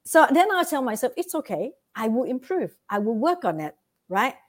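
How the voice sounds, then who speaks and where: A woman's voice: a little high, big pitch swings, moderate pace. A woman with a Vietnamese accent, a guest giving earnest motivational advice and often checking in with "right?". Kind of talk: motivational interview talk.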